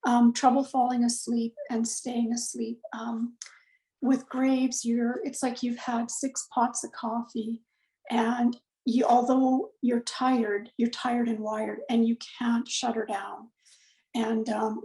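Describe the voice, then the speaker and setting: A woman talks in a relaxed, rambling way, her voice a little high and gravelly. She fills pauses with ums and corrects herself as she goes. The sound is echoey, like a room picked up over a video call. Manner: chatty, many fillers and self-corrections. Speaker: client giving a testimonial. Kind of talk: casual conversational testimonial.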